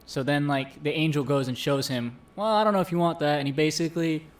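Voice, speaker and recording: A man's voice: a little high, fairly even intonation, fast talker. A man co-hosting a live-streamed chat show, earnestly retelling a film plot in a casual way with many fillers. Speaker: co-host. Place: home streaming setup.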